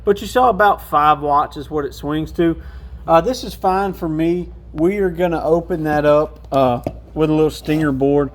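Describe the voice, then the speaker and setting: Higher-voiced man giving a casual walkthrough. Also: moderate pace, slight background noise. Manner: informal, hands-on explaining. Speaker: radio shop reviewer.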